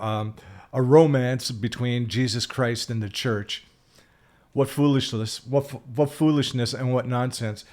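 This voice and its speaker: A man of sixty, speaking at an ordinary middle pitch. He talks in a loose, reflective way, full of fillers and self-corrections, and turns emphatic when he disagrees. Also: a little mellow. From Canada. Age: 60s. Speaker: spiritual vlogger. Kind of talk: reflective solo talk.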